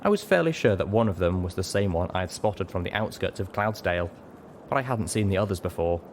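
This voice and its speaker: A man with a low voice narrates a first-person story. He reads from a script as an audiobook narrator, and a faint ambient noise sits behind his voice. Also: expressive, brisk. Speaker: audiobook reader.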